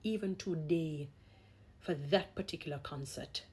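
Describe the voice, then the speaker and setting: Low-voiced emphatic woman vlogger, slightly husky. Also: unhurried, darkish tone. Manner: emphatic, exhorting, repeats phrases for stress. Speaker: vlogger, gospel singer. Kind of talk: opinionated talk to camera.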